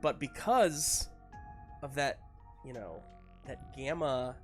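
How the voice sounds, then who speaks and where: A game master improvising the narration of a tabletop game in a halting, searching way. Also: mid-range voice, animated, faint music behind.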